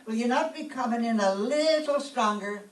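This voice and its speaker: A woman playing an excitable, sentimental older Irish widowed landlady in an old-time radio comedy, with an Irish accent. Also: on the high side, moderate pace, natural voice. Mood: earnest. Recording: echoey room, distant mic, faint noise.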